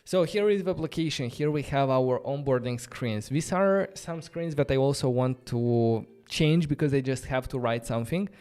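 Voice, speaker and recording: Smooth-voiced man with a Romanian accent. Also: mid-range voice, moderate pace, hardly any pauses, darkish tone. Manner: thinks aloud, loose and chatty, many "like" fillers. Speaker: host of a coding livestream. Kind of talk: casual live-coding stream. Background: faint background music.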